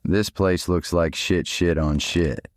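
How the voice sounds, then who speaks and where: Deep, dry blunt fatherly phantom man. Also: slow pace, no echo. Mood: sardonic. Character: blunt, nagging hallucinated man, paternal and caring. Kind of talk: audio drama acting.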